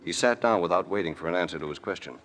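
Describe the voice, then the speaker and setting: A man's voice: deep voice, very fast, music in the background, natural voice. An actor playing a suave, wry adventurer hero who narrates his own case in an old radio detective drama. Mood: wry narration. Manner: smooth, wry, flirtatious, unflappable. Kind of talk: 1940s-50s radio detective drama acting and first-person narration.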